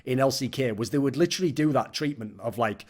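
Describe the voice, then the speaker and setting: A man's voice: mid-range voice, fast talker, darkish tone. A man, a guest commentator in a podcast discussion, talking bluntly and swearing casually as he rants his opinions.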